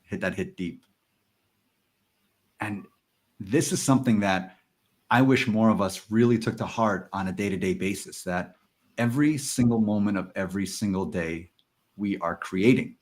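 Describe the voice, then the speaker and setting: A man's voice: fairly low pitch, normal speed, pauses often, a little mellow. A man hosting a podcast, speaking earnestly and enthusiastically about ideas. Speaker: podcast host. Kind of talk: casual podcast chat.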